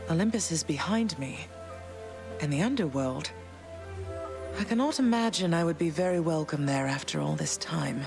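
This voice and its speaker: A woman playing a gentle, reserved mother and exiled queen in a video game, speaking in a measured, reflective way. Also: deep voice, animated, vocal fry, over music. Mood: wistful. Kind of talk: video game character dialogue.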